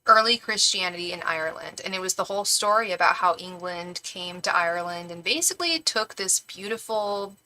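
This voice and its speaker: Bright-voiced woman chatting casually on a live stream. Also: on the low side, fairly quick, hardly any pauses, rough recording. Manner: rambling, effusive, girlfriend-like familiarity. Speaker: tarot reader hosting a live stream.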